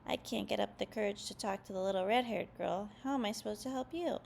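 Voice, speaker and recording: A timid little boy from a storybook, read by a woman. He is mild, well-meaning and a bit helpless, with a creaky voice and faint noise in the recording. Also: mid-range voice, fast, darkish tone, close to an everyday voice.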